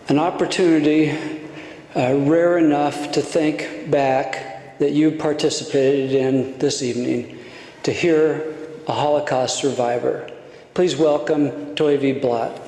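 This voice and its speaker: Slow formal male host in echoing hall. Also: on the high side, steady tone, warm tone. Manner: measured, with hesitations. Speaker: event host. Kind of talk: formal introduction. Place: large lecture hall. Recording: echoey lecture hall.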